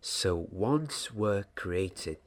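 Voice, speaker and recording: A young man playing a gentle, mysterious wizard wand maker in a soft, half-whispered ASMR roleplay, speaking deliberately in short phrases. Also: on the low side, expressive. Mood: instructive, reverent. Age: 20s. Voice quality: hushed, breathy, half-whispered. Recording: audible background hiss, small clicks.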